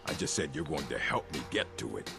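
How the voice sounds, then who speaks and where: An actor playing a gruff, proud orc chieftain in a fantasy game, blunt and commanding, with a gravelly voice. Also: male voice, very deep voice, big pitch swings, fast, full character voice. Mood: grudging, insistent. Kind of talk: fantasy video game acting. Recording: game dialogue under loud music.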